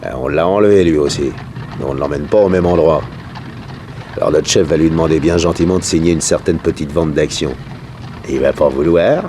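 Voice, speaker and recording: An actor playing a bossy, slangy kidnapper who threatens mockingly in a 1960s French radio crime serial. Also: male voice, rumbling low, brisk, dark tone, a little hiss or hum. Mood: gloating menace. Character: cynical gang henchman, bossy and mockingly menacing kidnapper.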